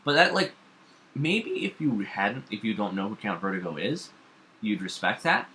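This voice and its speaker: A man co-hosting a casual talk show, giving opinions in an animated, sarcastic manner. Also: fairly low pitch, normal speed. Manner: animated, sarcastic, acts out mock dialogue. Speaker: co-host of a comics and TV talk show.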